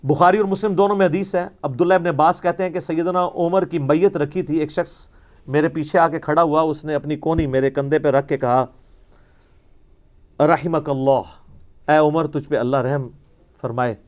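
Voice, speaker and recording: Higher-pitched man giving a polemical religious lecture. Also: fairly even intonation, moderate pace, lo-fi sound. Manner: polemical, mocking, rhetorical. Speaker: religious teacher answering questions. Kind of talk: argumentative religious lecture with quoted narrations.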